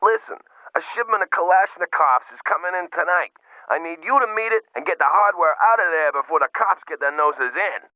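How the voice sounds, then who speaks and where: A man's voice: high-pitched, expressive, a little fast, pushed and bright, no room sound, exaggerated voice, rough recording. A man playing a streetwise mob boss in a video game, giving clipped orders in slangy wiseguy talk. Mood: urgent. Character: streetwise mobster boss handing out driving jobs. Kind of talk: video game cutscene acting.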